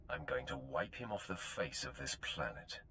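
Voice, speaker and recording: A man playing an arrogant, menacing Sith warrior in a video game, curt, sardonic and commanding, with a gravelly voice. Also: extremely low pitch, lively intonation, moderate pace, sharp tone, slight background noise, full character voice. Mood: cold menace.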